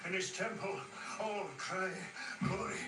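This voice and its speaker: An actor playing a troubled ship's captain in a radio horror drama, brooding and halting in his delivery. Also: sits high, steady tone, music in the background. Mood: haunted, praying. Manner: brooding, halting, repeating himself. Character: troubled male ship's captain muttering psalms, haunted by dreams. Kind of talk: audio horror drama acting. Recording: noisy roomy replay of a broadcast, distant.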